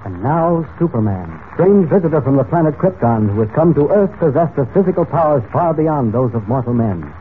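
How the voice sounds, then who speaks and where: A man's voice: on the high side, big pitch swings, a little fast, few pauses. A man narrating a 1940s radio serial as announcer, dramatic and declamatory, on a tinny, noisy old recording.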